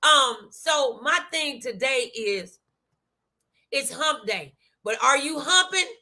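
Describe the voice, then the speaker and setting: A woman's voice: high-pitched, lively intonation, takes it slowly, bright, forceful tone. An American woman hosting an inspirational faith talk, speaking in a folksy, emphatic, exhorting way with repeated phrases and direct address to her viewers. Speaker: host of an inspirational talk show.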